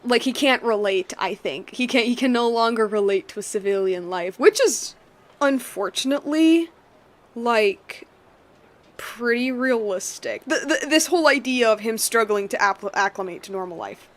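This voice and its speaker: A young woman giving casual, chatty live gameplay commentary, rambling and excitable as she goes. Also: fairly high pitch, normal speed. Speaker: game streamer.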